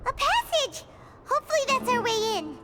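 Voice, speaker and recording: Casting: tiny childlike game sidekick fairy, very high squeaky voice, swooping delivery, skittish and chirpy. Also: female voice, very animated, moderate pace, very noisy. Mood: excited, hopeful. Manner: refers to herself by name in third person. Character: small childlike fairy companion, chirpy, easily spooked. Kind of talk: anime video game voice acting. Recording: game music behind the voice.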